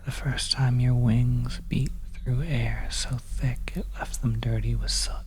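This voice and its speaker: An ASMR performer of unclear sex playing a bleak, weary narrator of a desolate world in a halting, hushed whisper over oppressive ambience. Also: mid-range voice, fairly even intonation, natural voice. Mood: numb, haunted. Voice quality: whispery, soft. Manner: halting, hushed, drawn-out phrasing. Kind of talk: slow whispered horror ASMR monologue. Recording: oppressive ambient noise behind voice.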